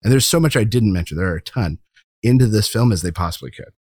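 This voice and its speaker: Low-voiced man arguing casually about film. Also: lively intonation, fast talker, a little mellow, slightly rough, no room sound, clean studio sound. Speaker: podcast co-host.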